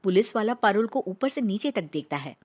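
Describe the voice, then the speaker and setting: A woman's voice: medium pitch, animated, brisk, darkish tone. A woman narrating a scripted dramatic story as its storyteller.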